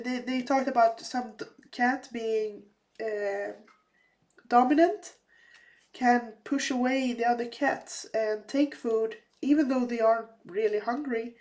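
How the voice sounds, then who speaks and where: Slow, halting woman with a slightly raspy voice. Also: fairly high pitch, darkish tone. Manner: slow, halting, many fillers and restarts. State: slightly raspy, recovering from a cold. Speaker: crafting vlogger. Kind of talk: casual chat while crafting.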